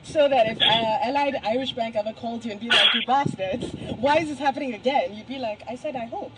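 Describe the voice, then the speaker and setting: A woman's voice: a little high, fairly quick. A woman conducting a casual phone interview in an informal, bantering way. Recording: very noisy phone interview recording.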